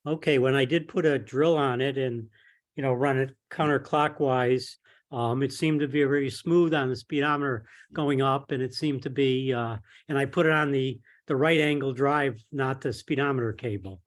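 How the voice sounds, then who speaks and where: A man on a conference call discussing a technical problem casually, with halting, hesitant phrasing. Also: medium pitch, fairly even intonation. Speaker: club member asking for technical help. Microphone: telephone or conference call line.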